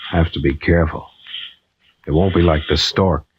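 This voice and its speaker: An actor playing a tough, laconic American pilot in an old-time radio drama, plain-spoken, clipped and understated. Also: male voice, rumbling low, steady tone, normal speed. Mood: matter-of-fact. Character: tough, laconic male pilot, wartime veteran, gallant and wry. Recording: noisy, muffled old radio recording.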